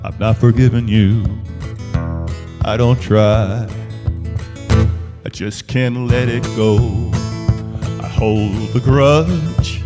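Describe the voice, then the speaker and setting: A male singer-songwriter singing slowly in a classic country style. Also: mid-range voice, music in the background. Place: live-streamed music show at a venue.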